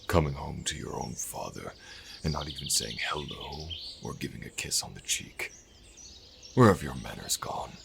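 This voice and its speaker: A man playing a stern, domineering older king and contemptuous father, gravelly and imperious, in a fantasy audio roleplay. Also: rumbling low, exaggerated voice. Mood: sarcastic, cold. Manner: imperious, deliberate scolding. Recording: noisy background with birdsong.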